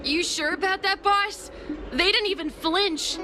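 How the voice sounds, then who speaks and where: A woman's voice: very high voice, measured pace. A woman voicing a feisty child bully, one of a gang, in a video game. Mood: doubtful. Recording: loud music behind the voice.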